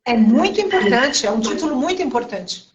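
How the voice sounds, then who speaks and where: A woman's voice: high voice, sing-song, brisk, dull audio. A woman hosting a casual live-stream interview, chatty and full of tag questions. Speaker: interview host. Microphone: remote call over a live stream.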